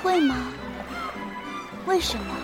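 Actress as a high, lilting carefree village girl. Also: big pitch swings, slow, exaggerated voice. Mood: innocent. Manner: innocent, playful, inquisitive. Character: carefree, curious young village girl living only a hundred days. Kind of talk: radio drama acting. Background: background music.

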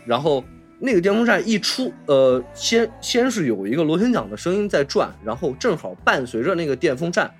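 A man's voice: on the high side, sing-song, brisk, hardly any pauses, faint music behind. A man with a Mainland Chinese Mandarin accent hosting a casual film discussion, explaining and rephrasing his points as he goes. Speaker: podcast host.

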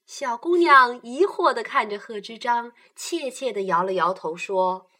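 A woman narrating a children's radio play as a storyteller, in an animated style. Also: a little high, a little slow.

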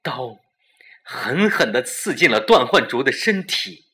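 A man's voice: mid-range voice, sing-song, a little slow, pushed and bright. A man narrating a suspense audio story in a dramatic storytelling style.

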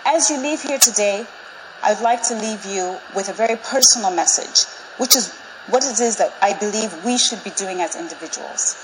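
Measured, persuasive woman in her forties. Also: mid-range voice, noisy background. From Zambia. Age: 40s. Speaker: speaker. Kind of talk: prepared public talk. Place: large auditorium with live audience.

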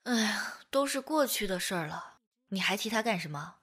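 A woman's voice: mid-range voice, lively intonation, moderate pace, a bit bright, slightly rough. A woman acting in a Chinese audio drama as a tender, wounded young woman confessing a past love. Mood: tender reassuring.

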